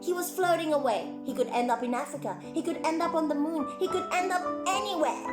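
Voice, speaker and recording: A woman reading a story aloud for children as a clown presenter, expressive and exclaiming, with chatty asides to her young listeners. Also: high-pitched, fast. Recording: roomy echo, music faintly behind.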